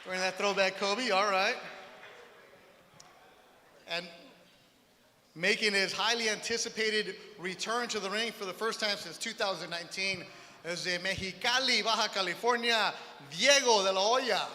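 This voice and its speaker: High, bright man hyping a press event. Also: normal speed. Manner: energetic, hype announcing. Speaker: press conference emcee. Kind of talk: press conference hosting. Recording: reverberant hall with faint background noise.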